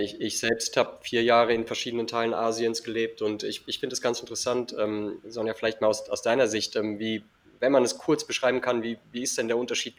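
Fast-talking, lowish-voiced male interview host. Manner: halting, repeats words. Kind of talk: casual conversational interviewing.

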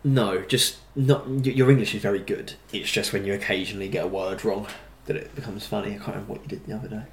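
A man co-hosting a casual podcast, talking in a measured, teasing way and repeating words as he thinks. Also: lowish voice, fairly even intonation, roomy sound, a little hiss or hum. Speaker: podcast co-host. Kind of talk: casual chat.

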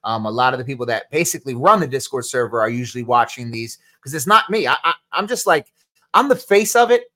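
A man's voice: mid-range voice, expressive, quick pace, pushed and bright. Casual New Jersey man answering chat questions. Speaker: podcast host.

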